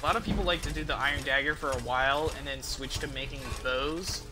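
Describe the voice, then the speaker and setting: A man's voice: a little high, quick pace, music in the background. A man casually commentating on his video game as a streamer, rambling and thinking aloud with offhand swearing.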